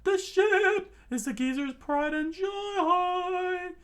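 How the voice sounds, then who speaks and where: A man voicing a hot-headed, defiant young male cook, yelling in a shrill falsetto. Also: extremely slow, full character voice.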